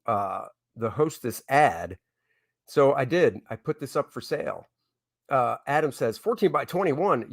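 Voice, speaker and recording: A man with a slightly high voice chats informally as a livestream host and working artist. He talks to viewers directly as friends and reads their comments aloud, and the sound is close and dry. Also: no echo. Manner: chatty, informal, addresses viewers as guys. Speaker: host, comic book artist.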